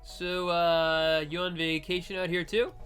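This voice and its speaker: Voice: medium pitch, flat delivery, measured pace, over music, natural voice. A game player voicing an awkward, easily flustered teenage boy in a hesitant, stammering way. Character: Nick, awkward easily flustered teenage boy, recent high school grad. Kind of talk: visual novel voice-over, dramatized reading.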